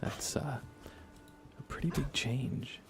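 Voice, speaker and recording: A man speaking softly and unhurriedly, often half-whispering, as he rambles through a live ASMR gaming stream. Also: medium pitch, slow, over music. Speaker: livestream host. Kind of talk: soft-spoken ASMR game livestream chat.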